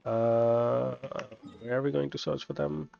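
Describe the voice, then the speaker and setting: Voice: mid-range voice, steady tone, measured pace, creaky, dull audio. A video game streamer giving casual playthrough commentary, offhand and muttering to themselves. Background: game music.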